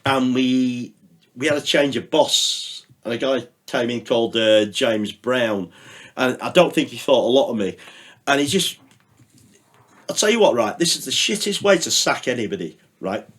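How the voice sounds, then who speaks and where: A man's voice: medium pitch, lively intonation, moderate pace, fairly bright tone. A middle-aged man, telling rambling stories as a podcast guest, full of fillers and the odd swear word. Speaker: podcast guest, radio producer. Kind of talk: casual chat.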